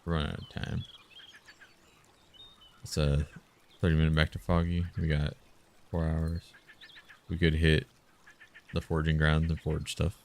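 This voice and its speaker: A man live streaming a text game, musing out loud in short casual phrases and sometimes reading the game text. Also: a bass voice, monotone, unhurried, long pauses. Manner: musing, muttered short phrases, thinking aloud.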